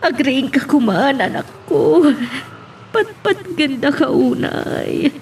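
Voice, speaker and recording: Woman playing an emotional mother, high swooping voice. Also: animated, slow pace, a little mellow, exaggerated voice. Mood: relieved, moved. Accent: Filipino. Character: emotional devoted mother of grown children. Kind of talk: Filipino radio-style melodrama acting. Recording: distant mic with background hiss.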